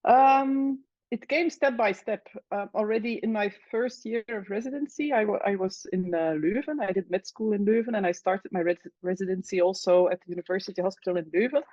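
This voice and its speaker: A woman from Belgium, an anesthesiologist speaking in a casual interview, hesitant with many fillers and pauses. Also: mid-range voice, darkish tone, no echo, lo-fi sound. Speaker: anesthesiologist guest.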